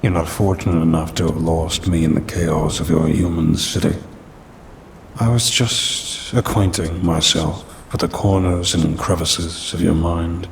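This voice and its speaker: A man's voice: sits low, fairly even intonation, a little slow, creaky, slight background noise. A man voicing a centuries-old demon in an ASMR audio roleplay, formal and pompous, grumbling dryly yet caring. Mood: smug, calm. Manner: formal, pompous, dry complaining.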